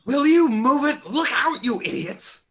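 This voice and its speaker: Man as a shrill, snappish villain monster. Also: sing-song, unhurried, fairly bright tone, heavily acted. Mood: irritated. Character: irritable, snarling villain monster Randall, male. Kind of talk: cast table read of a film script.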